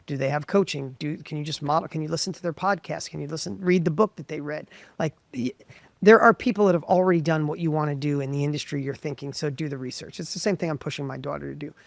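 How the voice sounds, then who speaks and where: A middle-aged man from the United States telling his story as an interview guest, with a high voice, animated and full of quick restarts. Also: creaky, dry recording. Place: professional studio.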